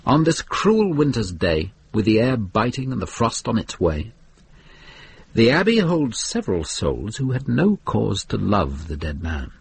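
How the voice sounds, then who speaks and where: An actor narrating a medieval mystery radio drama as a grave, deliberate British storyteller. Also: male voice, fairly low pitch, sing-song, creaky, a little hiss or hum, full character voice. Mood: grave, atmospheric.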